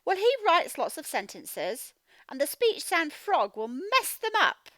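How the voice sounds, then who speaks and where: A woman teacher giving a children's read-aloud phonics lesson, speaking in an animated, emphatic, instructional way and sounding out words. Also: high voice, a little slow, no echo. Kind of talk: children's read-aloud lesson, explaining directly to young learners.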